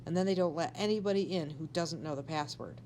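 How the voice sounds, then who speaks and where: A woman's voice: deep voice, fast, a little mellow, a little hiss or hum, natural voice. A woman voicing a bossy, scheming schoolgirl in a storybook, telling tall tales in an insistent, conspiratorial way.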